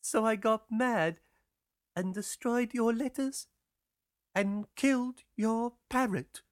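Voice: medium pitch, takes it slowly, dry recording, clean studio sound, voice pushed up, natural voice. A storyteller voicing an easily upset young sweetheart in a plaintive, exclaiming way. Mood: remorseful.

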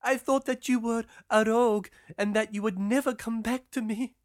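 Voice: medium pitch, fairly even intonation, moderate pace, no echo, studio quality, voice pushed up, close to an everyday voice. A storyteller voicing an easily upset young sweetheart in a plaintive, exclaiming way. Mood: remorseful.

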